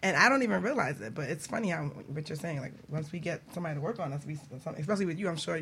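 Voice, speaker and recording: A woman's voice: low voice, lively intonation, rapid-fire, fairly bright tone, husky. A woman co-hosting a talk show in a casual, colloquial style, telling anecdotes and asking questions. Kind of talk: casual chat.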